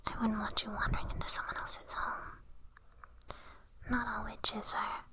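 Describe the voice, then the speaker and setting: A woman voicing a sly, haughty evil witch in an ASMR roleplay, in an unhurried, condescending, breathy whisper with soft menace. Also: a little high, little pitch movement, lightly acted. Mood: sly, coaxing.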